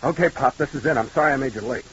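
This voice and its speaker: An actor playing a nervous, guilt-ridden young factory worker in a 1940s radio drama, anxious and insistent. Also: male voice, medium pitch, rapid-fire, a bit bright, slight background noise, lightly acted. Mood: tense, clipped. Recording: muffled old radio drama recording.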